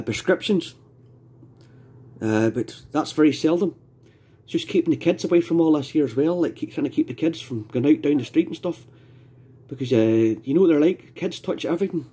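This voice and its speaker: A Scottish man speaks in a husky, slightly mellow voice, fairly high for a man. He chats casually in the manner of a radio amateur talking on air. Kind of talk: casual two-way radio chat. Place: indoors at a home radio desk.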